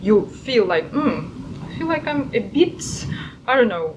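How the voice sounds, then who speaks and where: A woman's voice: high-pitched, very animated, normal speed. Woman host on a muffled, noisy line. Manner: searching for words, many fillers and restarts. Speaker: podcast host. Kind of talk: casual discussion. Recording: muffled recording with clear background noise.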